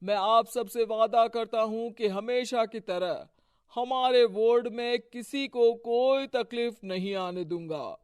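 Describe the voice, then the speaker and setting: A man playing a bossy, self-important corrupt husband in an animated moral story. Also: very high-pitched, takes it slowly, clean tone, no room sound, studio quality. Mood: pompous. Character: domineering corrupt husband, local strongman who runs his wife's office.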